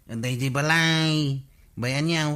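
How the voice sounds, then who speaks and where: A man's voice: fairly high pitch, slow pace, pushed and bright, smooth. A man playing a cheeky, forgetful male village borrower in an Ilocano comedy radio drama, hemming and making apologetic excuses. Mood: casual, excuse-making.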